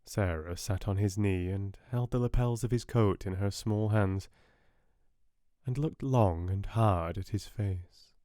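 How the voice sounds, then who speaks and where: A British man narrates a storybook in a soft voice. His pitch is on the low side, and the recording is dry, as suits an audiobook. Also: measured pace, no echo.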